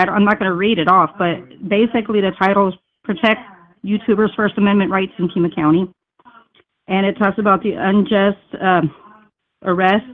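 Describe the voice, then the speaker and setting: A woman calling into a show, talking in a chatty, rambling way full of fillers. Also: medium pitch. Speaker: caller. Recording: tinny phone line.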